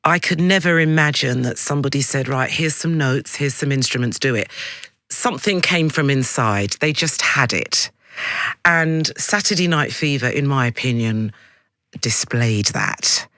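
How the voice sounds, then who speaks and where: A man's voice: medium pitch, moderate pace, bright, forceful tone, no echo. A man hosting a radio interview in a studio, speaking in a direct, effusive, emphatic way. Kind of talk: broadcast interview chat.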